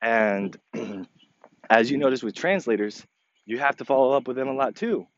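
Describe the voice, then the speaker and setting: A man running his company, speaking informally to his staff in a podcast, with a slightly crackly voice and a halting, earnest delivery full of fillers and restarts. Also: mid-range voice, no room sound, lo-fi sound. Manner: earnest, repeats himself to stress points. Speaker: company president addressing staff. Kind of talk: informal podcast address to employees.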